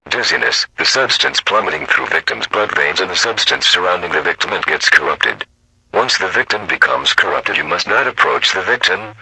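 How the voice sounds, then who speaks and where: A man with a formal, robotic, deliberate delivery, reading a scripted emergency broadcast announcement. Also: sits low, gravelly, sharp tone, breathy edge, dry recording, rough recording.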